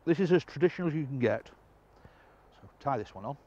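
An older man talks through fly fishing while standing by a river. His mid-range voice is calm and explanatory, warming with enthusiasm for the fish. The outdoor recording sounds a little muffled. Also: medium pitch, fast talker, a little hiss or hum. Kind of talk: outdoor instructional presenting.

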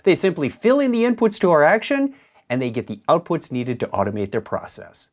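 A man in his fifties giving scripted software instruction in a clear, emphatic, didactic presenter's delivery. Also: a little high, expressive, brisk.

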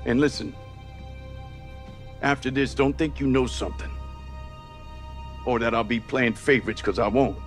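An actor playing a calm, plain-spoken middle-aged American football coach in a video game cutscene. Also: male voice, mid-range voice, moderate pace, pauses often, faint music behind, lightly acted. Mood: subdued, firm.

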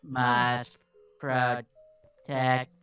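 A man voicing a menacing animatronic robot soldier who speaks in clipped, repeated phrases in a monotone for a game roleplay. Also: medium pitch, extremely slow, reverberant, recorded from a distance, faint music behind. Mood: mechanical alarm. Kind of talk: video game roleplay character.

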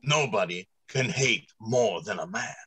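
An actor playing a stern, flustered factory director in old-time radio drama style, with halting, dramatic delivery. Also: male voice, lowish voice, animated, bright, forceful tone, slight background noise. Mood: stern, bitter. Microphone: video call.

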